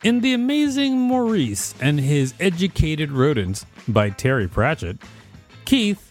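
A man co-hosting a podcast, reading an encyclopedia article aloud. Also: medium pitch. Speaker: podcast co-host.

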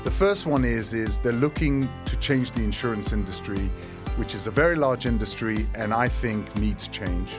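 A man's voice: fairly low pitch, normal speed. Man giving testimonial over music. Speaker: investor giving a sponsor testimonial. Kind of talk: explanatory promotional testimonial. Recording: music bed under the voice.

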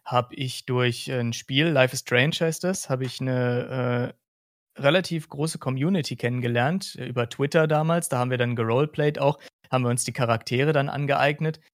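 A man's voice: mid-range voice, normal speed, no room sound, studio quality. A man from Hesse in Germany chats casually as a podcast host, explaining things in a rambling way.

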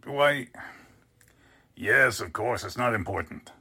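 Performer as a raspy, flustered male boss. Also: lowish voice, expressive, slow pace, pushed and bright, no room sound, clean studio sound, exaggerated voice. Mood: puzzled. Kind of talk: 1940s radio drama acting.

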